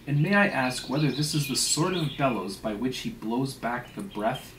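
A man's voice: medium pitch, animated, normal speed, roomy sound, distant mic, background noise, lightly acted. A man reading a storybook sea tale as an indignant, sarcastic ship's mate who scolds in emphatic, mock-polite rhetorical questions. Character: indignant, sarcastic male whaling second mate, suspicious and blustering. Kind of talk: dramatic reading of a classic sea novel.

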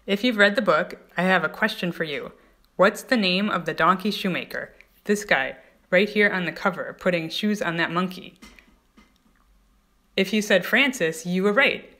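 A woman's voice: fairly low pitch, moderate pace, pushed and bright. A woman in her thirties or forties speaking to a webcam indoors, presenting a short trivia question as an author. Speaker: children's author. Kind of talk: self-recorded trivia question to camera. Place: indoors, plain room.

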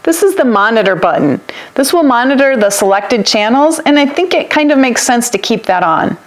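A woman's voice: fairly high pitch, big pitch swings, normal speed. An American woman in her fifties explaining gear as a co-host of an overlanding vlog, recorded on a lapel mic. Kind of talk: explanatory product demonstration and narration. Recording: some background ambient noise.

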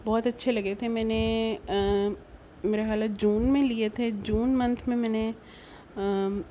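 Woman, Pakistani accent, mellow tone, chatty casual vlog narration, background noise. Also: fairly high pitch, steady tone, a little slow. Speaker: vlogger.

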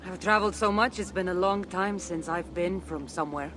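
An actress playing a confident, secretive ancient Greek warrior woman in a video game, with a Greek accent and a measured, teasing manner. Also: lowish voice, a bit bright, a little hiss or hum, natural voice. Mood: guarded, calm. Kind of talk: video game cutscene acting. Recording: game audio with music behind. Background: game soundtrack music.

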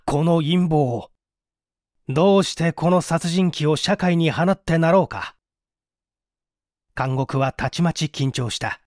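A male audiobook reader delivers mystery narration with theatrical suspense. His voice sits fairly high and moves widely in pitch. The sound is dry and close. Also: no echo. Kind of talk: dramatic scripted narration of a mystery story.

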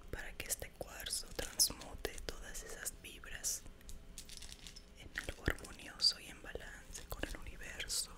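A woman in her twenties speaks in a breathy, unvoiced whisper right up against the microphone. Her delivery is very slow and soothing, guiding the listener through an ASMR meditation with gentle instructions. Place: small bedroom, indoors. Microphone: desk condenser microphone, very close.

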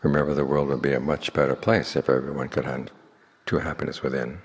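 Very deep gravelly man guiding meditation. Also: flat delivery. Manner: measured, with long pauses between phrases. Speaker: Buddhist monk leading guided meditation. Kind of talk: slow guided meditation talk. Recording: long hall reverb.

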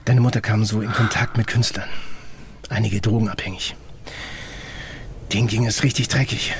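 An actor playing a guarded middle-aged investigator in an audio drama, explaining things in a terse, matter-of-fact way. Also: male voice, lowish voice, fairly even intonation, moderate pace, a little hiss or hum, lightly acted. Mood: measured, guarded. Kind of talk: German audio drama dialogue.

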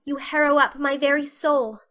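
Woman playing a bright, proud, dramatic schoolgirl. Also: high voice, fairly even intonation, normal speed, voice pushed up. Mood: tragic sorrow. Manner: earnest, dramatic. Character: proud, stubborn, melodramatic young schoolgirl. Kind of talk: audiobook character dialogue.

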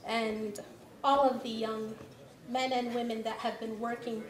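A woman's voice: fairly high pitch, little pitch movement, a little slow. A middle-aged woman giving an award speech at a podium microphone, reading from notes and switching between languages. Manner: reads from notes, switches between English and Assyrian. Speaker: award presenter. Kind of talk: ceremony speech. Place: large banquet hall. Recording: echoey banquet hall with crowd.

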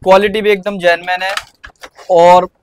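A man in a shop giving a rapid product walkthrough, quoting prices in a repetitive sales patter. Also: high voice, level tone, a little hiss or hum. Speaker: vlogger showing a wholesale shop. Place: indoor wholesale shop.

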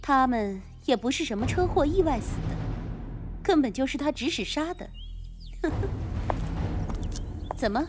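一名女演员在广播剧中饰演冷酷、有心计、语带嘲讽的帮派女叛徒。. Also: female voice, high-pitched, lively intonation, unhurried, long pauses, music in the background. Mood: taunting. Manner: taunting, coolly condescending. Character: cold, scheming female gang insider turned betrayer. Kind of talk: Chinese radio drama acting.

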